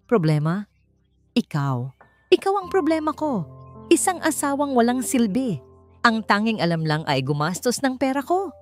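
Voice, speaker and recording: A woman's voice: fairly high pitch, sing-song, normal speed, long pauses, voice pushed up. A Filipino woman acts out a cruel husband in a romance audio story. He is contemptuous and commanding, with cold anger in every phrase, over background music. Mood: furious.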